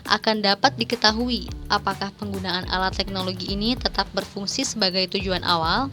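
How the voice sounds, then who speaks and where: Young female student presenter, medium pitch, formal scripted read with careful diction. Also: pushed and bright. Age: young adult. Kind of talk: scripted presentation narration, reading a paper aloud. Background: background music.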